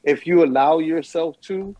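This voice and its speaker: A man's voice: fairly high pitch, a little slow, warm tone. A man talking casually on a podcast in slangy language, often repeating and circling back on his phrases. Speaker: podcast speaker. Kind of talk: casual chat.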